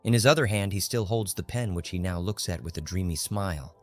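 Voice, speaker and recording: Deep-voiced male audiobook narrator. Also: fairly quick. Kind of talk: scripted literary narration. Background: faint music.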